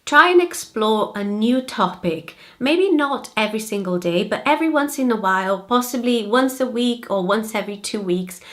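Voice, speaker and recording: A young woman from Brazil whose first language is Portuguese, speaking English with a British-leaning accent, giving clear, upbeat vocabulary tips in a home office. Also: fairly high pitch, lively intonation, moderate pace, few pauses, fairly bright tone. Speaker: language-learning vlogger. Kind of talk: friendly tutorial vlog.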